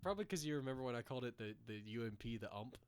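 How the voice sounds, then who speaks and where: A man's voice: medium pitch, expressive, rapid-fire, clear, resonant tone. A man in his late teens bantering casually as a podcast co-host, joking and teasing in slangy speech. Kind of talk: casual banter between friends.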